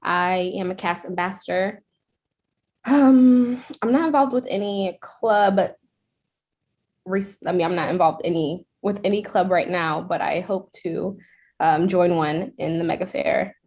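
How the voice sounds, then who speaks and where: A woman's voice: lowish voice, unhurried, darkish tone. Young woman from Tanzania, student. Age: young adult. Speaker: student resident assistant. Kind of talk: self-introduction.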